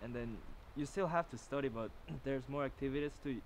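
A teenage boy from South Korea, an international student, speaking earnestly and clearing his throat in an outdoor interview. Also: medium pitch, a little fast, slight background noise, poor audio. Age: teens. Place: outdoors on a lawn by woods.

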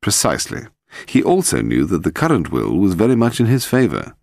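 A man voicing a calm, cheerful gentleman detective in an audiobook, explaining things wryly. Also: fairly low pitch, fairly quick, vocal fry, no room sound, studio quality, pitched above the performer's own voice, heavily acted.

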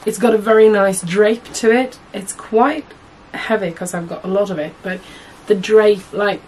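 Husky-voiced young woman with a cold, chatty sewing vlog at home, lots of ums, faint hiss behind. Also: mid-range voice, steady tone, moderate pace, a little mellow. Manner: chatty, rambling, many fillers and self-corrections. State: hoarse from a cold. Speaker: sewing vlogger. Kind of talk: casual chat to camera. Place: small indoor room at home.

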